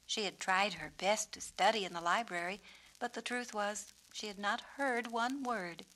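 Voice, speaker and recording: A woman's voice: mid-range voice, normal speed, sharp tone. A woman narrating a children's storybook expressively, as its author. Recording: noisy 1970s children's story record.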